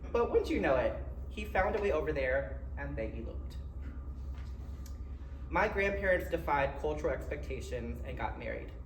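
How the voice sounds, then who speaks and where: A man with a Philadelphia accent giving a personal keynote speech in a conversational way, with short dramatic phrases and wry asides. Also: on the high side. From Philadelphia area, United States. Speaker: keynote speaker. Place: college event room. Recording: roomy, distant mic with faint noise.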